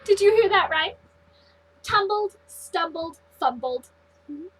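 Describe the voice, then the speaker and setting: A woman teacher reading a story aloud to children, pausing to put questions to her listeners. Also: very high voice, lively intonation, poor audio.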